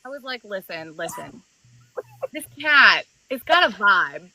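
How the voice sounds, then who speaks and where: A woman's voice: medium pitch, unhurried, a little hiss or hum, rough recording. A woman guest narrator telling a story in a casual online chat, acting out the quotes as she goes. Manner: expressive storytelling, acts out quotes.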